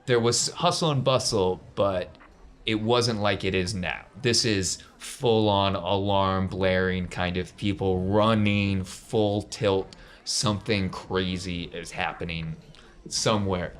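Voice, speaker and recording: A man acting as game master, narrating a tabletop role-playing game in a casual, playful way. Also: deep voice.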